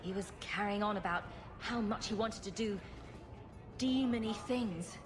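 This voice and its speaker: A confident fantasy adventurer, played by a woman, wry and given to sarcasm. She speaks at a medium pitch with dry humour, as a video game heroine, with music clearly heard behind her. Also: a little slow, close to an everyday voice. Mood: mock-serious. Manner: dry, joking.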